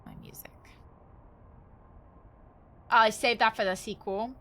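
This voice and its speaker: A woman's voice: a little high, steady tone, measured pace, pushed and bright. A woman chatting casually as she streams a game, thinking aloud with wry, joking asides. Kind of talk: casual live gaming commentary.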